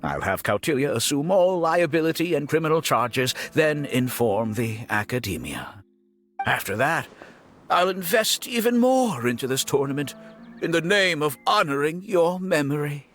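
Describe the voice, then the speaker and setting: An actor playing a smug, power-hungry villain in an anime video game, with theatrical, drawn-out menace. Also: male voice, a little high, expressive, fairly bright tone, soft background music. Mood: gloating scheming.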